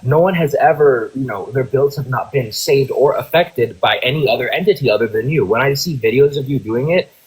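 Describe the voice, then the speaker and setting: Male caller, husky, mid pitch, gushing flatterer. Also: low-quality recording. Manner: effusive, flattering, halting. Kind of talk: comic roleplay interview.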